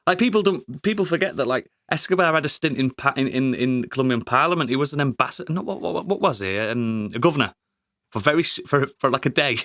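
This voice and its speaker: A man co-hosting a podcast, chatting casually about a TV show, sweary and excitable, laughing often. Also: medium pitch, quick pace, no echo. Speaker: podcast co-host. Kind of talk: casual review chat.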